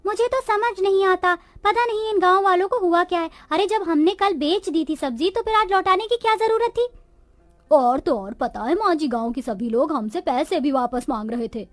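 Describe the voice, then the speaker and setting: A woman's voice: very high voice, lively intonation, moderate pace, dry recording, faint music behind. A woman voicing poor, resourceful village women in a Hindi cartoon moral story, with a dramatic, complaining manner. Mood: indignant. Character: village women of a poor family, resourceful daughters-in-law and their mother-in-law.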